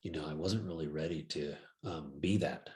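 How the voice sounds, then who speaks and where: A man in a reflective group conversation, speaking slowly and hesitantly with many pauses and ums. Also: very deep voice.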